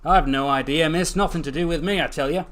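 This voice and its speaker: A man voicing a gruff, wary creature shopkeeper in a story, with a folksy, guarded manner and a Scottish accent. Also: medium pitch, moderate pace, fairly bright tone, close to an everyday voice. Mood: defensive, grumbling.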